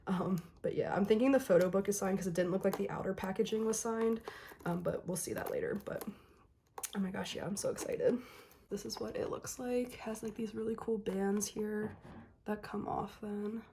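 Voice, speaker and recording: A young woman's hoarse, slightly worn voice fills this casual unboxing chat. She talks to her viewers in a loose, friendly way, filling her pauses with ums. Her excitement keeps showing through her scratchy throat. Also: mid-range voice. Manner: chatty, many "um"s, excited. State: hoarse, recovering from illness. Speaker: K-pop album unboxing vlogger.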